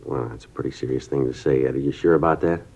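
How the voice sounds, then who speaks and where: An actor playing a terse police detective who narrates in a clipped, deadpan, matter-of-fact manner, in a 1950s radio drama. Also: male voice, rumbling low, fast, gravelly, slightly rough, full character voice. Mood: calm, steady. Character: terse male police detective, middle-aged. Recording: dull lo-fi 1950s radio broadcast.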